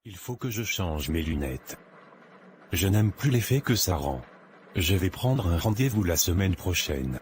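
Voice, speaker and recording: A man playing a vain, broke young office worker who lives beyond his means in an animated moral tale. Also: deep voice, unhurried, a little hiss or hum. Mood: worried.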